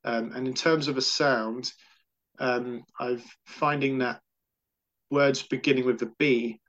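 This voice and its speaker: A man's voice: mid-range voice, fairly even intonation, takes it slowly, fairly bright tone, highs cut off. A man with a stammer, answering questions in a casual interview, repeating syllables and words as he talks.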